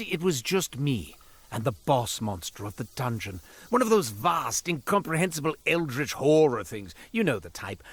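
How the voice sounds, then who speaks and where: A self-important shopkeeper from a fantasy game, with a mid-pitched, very animated voice. He narrates like a stage showman, wry and flamboyant, forever wandering into asides. There is a cowardly slyness under the grand manner. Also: heavily acted. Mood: wry, dramatic. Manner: theatrical, flamboyant, wry and digressive. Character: chatty, self-important male curio merchant, wry and cowardly. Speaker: video game character voice actor. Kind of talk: theatrical character monologue, storytelling.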